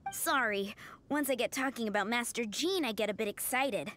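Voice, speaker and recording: A woman's voice: on the high side, normal speed, fairly bright tone, a little hiss or hum, close to an everyday voice. A woman playing an earnest, admiring young woman in a video game, polite and a little gushing. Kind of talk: scripted video game voice acting. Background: music.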